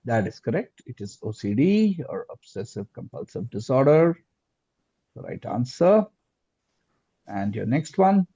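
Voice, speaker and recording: A man hosting a quiz live, reading questions aloud deliberately and repeating answers and phrases, over lo-fi online call audio. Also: medium pitch, very animated, warm tone, husky, no room sound. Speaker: quizmaster.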